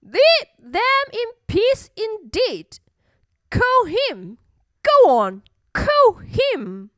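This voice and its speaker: Storybook bully bird played by a woman: high, screechy, scoffing, swooping wildly in pitch. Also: big pitch swings, extremely slow, pushed and bright, no echo, studio quality, heavily acted. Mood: scornful. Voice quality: screechy, shrieking. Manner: scoffing, blustering.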